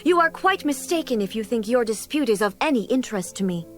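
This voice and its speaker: An imperious female ruler played by an actress in a video game. Her voice is somewhat high, formal and commanding, over soft background music. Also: expressive, fast, natural voice. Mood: coolly commanding. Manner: formal, authoritative. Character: imperious, stern female shogun, commanding and composed.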